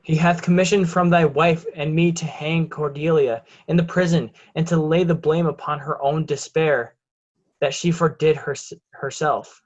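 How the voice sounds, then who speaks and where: A man's voice: on the high side, steady tone, normal speed, close to an everyday voice. A man playing a dying villainous nobleman confessing, in a Shakespearean play reading. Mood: remorseful. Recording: muffled lo-fi recording.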